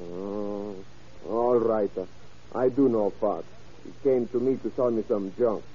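An actor playing a reluctant, defensive small-time Korean informant in 1930s radio crime drama, protesting and evasive. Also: male voice, on the low side, measured pace, warm tone, slightly rough. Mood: resigned. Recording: muffled, lo-fi old radio recording.

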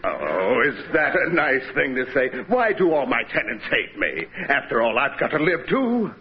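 A gloating, pushy landlord. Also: male voice, medium pitch, very animated, moderate pace. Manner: wheedling then demanding. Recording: noisy muffled old radio broadcast.

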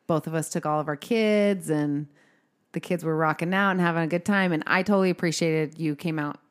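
A woman's voice: sits low, a little fast, clear, resonant tone. A woman co-hosting a podcast, talking in a chatty, informal way and putting questions to a guest. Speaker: podcast co-host. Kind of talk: casual podcast chat.

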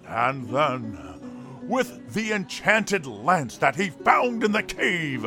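Showman bard telling hero tales in a fantasy audio drama, slow and dramatic, music behind. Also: on the high side, very animated, heavily acted. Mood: grandiose. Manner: grandiose, suspenseful, with drawn-out pauses. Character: theatrical tavern storyteller, a showman spinning heroic tales. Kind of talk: dramatic fantasy storytelling to a crowd.

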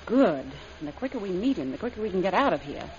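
Woman playing sardonic slangy adventuress in old radio serial, lively pitch, muffled sound w/ a bit of hiss. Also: fast talker, natural voice. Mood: wary. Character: wisecracking, wary female adventuress and secret agent. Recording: muffled old radio recording, faint noise.

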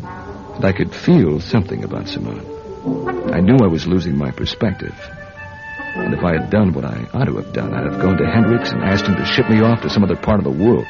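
A world-weary agent from a radio spy drama. The deep, creaky voice is terse and hard-boiled, yet its pitch moves widely as he talks and narrates. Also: sing-song, brisk, exaggerated voice. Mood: brooding. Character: world-weary male intelligence agent narrating his own case. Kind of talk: radio drama acting with first-person narration. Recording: dull radio recording, music behind.

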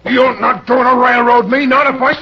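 An actor playing a frightened, down-on-his-luck husband and father in old-time radio crime drama, with an American accent, a husky voice and stammering, pleading delivery. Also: extremely high pitch, fairly even intonation, normal speed, noisy background. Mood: desperate pleading. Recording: dull, lo-fi old radio recording.

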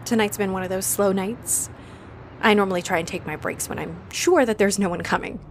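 A woman's voice: mid-range voice, brisk, gravelly, slight background noise, natural voice. A woman playing a friendly, easily flustered vampire who runs a cozy cafe, chatty and apologetic, in a roleplay audio drama spoken to the listener. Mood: friendly, inviting.

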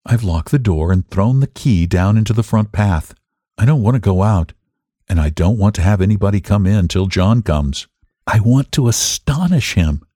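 A man's voice: sits low, dark tone, no room sound, studio quality. A man reading dramatically as an unhinged, secretive, defiant woman narrating a gothic story. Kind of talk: dramatic first-person audiobook reading.

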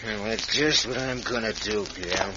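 An actor playing a callous, scheming outlaw in a radio western, with a folksy American Western accent and ungrammatical talk. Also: male voice, lowish voice, normal speed, close to an everyday voice. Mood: cold menace. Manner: folksy drawled menace, ungrammatical. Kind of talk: 1950s radio western acting. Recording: muffled old radio with faint hiss.

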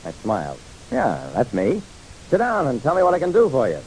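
A man's voice: mid-range voice, wildly swooping pitch, a little fast, a little mellow, low-quality recording. An actor playing a hard-boiled private detective narrating his casebook in a wry, dramatic, punchy radio style. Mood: wry, matter-of-fact. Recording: hissy old radio recording.

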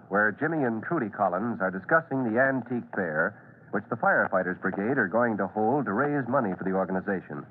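A man narrating as a radio announcer in a dramatic, emphatic, polished old-time style. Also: medium pitch. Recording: tinny, noisy vintage radio recording.

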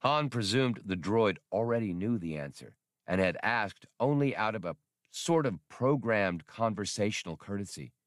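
Male audiobook narrator, lowish pitch, scripted storytelling, very dry studio-close sound. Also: expressive, moderate pace, no room sound. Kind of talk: scripted narration of a science fiction novel.

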